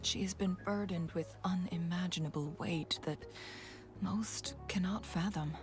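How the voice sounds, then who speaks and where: An actress voicing an earnest, thoughtful young female warrior in a video game, speaking in a measured, serious way. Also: lowish voice, steady tone, close to an everyday voice. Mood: solemn, sympathetic. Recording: music behind the voice.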